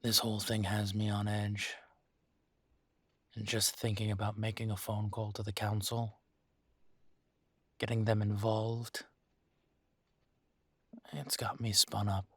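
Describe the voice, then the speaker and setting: A low-pitched, protective werewolf leader, acted by a man for an intimate roleplay audio drama. He is earnest and weary but determined, speaking deliberately with pauses. His intonation stays quite level, and the sound is dry and close. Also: level tone, a bit bright, breathy edge, dry recording, heavily acted. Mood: tense, apologetic.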